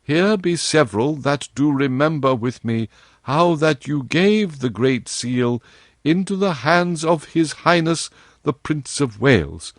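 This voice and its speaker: A man's voice: fairly high pitch, expressive, measured pace, dry recording, studio quality, voice pushed up. A man voicing a deferential, anxious nobleman in a storybook, with formal, pleading archaic speech. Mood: cautious, respectful. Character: deferential nobleman courtier addressing his king, anxious and humble.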